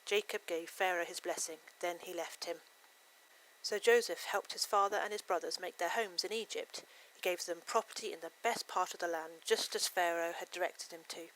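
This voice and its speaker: A woman serves as narrator for a scripted Bible story. Her voice sits a little low, and she reads aloud in clear, simple phrases. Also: steady tone, moderate pace, fairly bright tone, slight background noise, rough recording.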